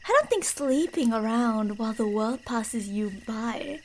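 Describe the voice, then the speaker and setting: High-voiced creepy yandere stalker girl, acted by a woman. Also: animated, measured pace, slight background noise, poor audio. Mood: teasing, eerie. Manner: teasing, falsely sweet, breathy whispers mixed with soft speech. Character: creepy delusional yandere woman stalker posing as park ranger. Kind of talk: ASMR roleplay.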